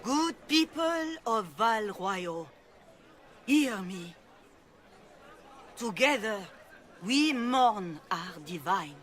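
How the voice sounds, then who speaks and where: An actress playing a self-righteous religious mother who orates slowly to a crowd in a game cutscene. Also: a little high, bright, forceful tone, full character voice. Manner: slow, declamatory public oratory.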